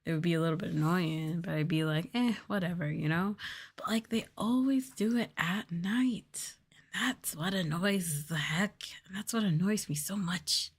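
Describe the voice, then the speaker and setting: A woman speaking softly and casually in an ASMR livestream style, breathy and often slipping into a whisper, close to the microphone. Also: lowish voice, moderate pace. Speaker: VTuber streamer. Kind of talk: ASMR soft talking, casual livestream chat.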